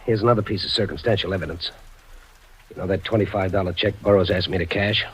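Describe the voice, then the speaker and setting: A man's voice: low voice, fairly even intonation, fast talker, slightly rough. A man playing a desperate, hard-boiled husband on the run in old-time radio crime drama, terse, slangy and fatalistic. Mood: cornered, confessing. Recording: muffled, faintly noisy vintage radio recording.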